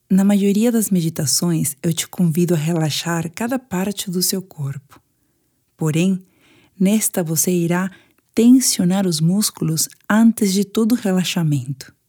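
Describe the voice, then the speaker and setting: A woman's voice: on the low side, measured pace, long pauses, warm tone. A woman with a Brazilian Portuguese accent guides a sleep meditation in a soothing, instructive manner.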